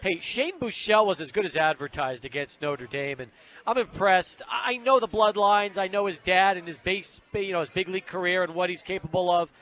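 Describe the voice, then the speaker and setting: A male sports talk host with a distinctly high-pitched voice. He is chatty and conversational, rolling through long run-on setups on his way to a question. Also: fairly quick, sharp tone, rough recording. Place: radio studio.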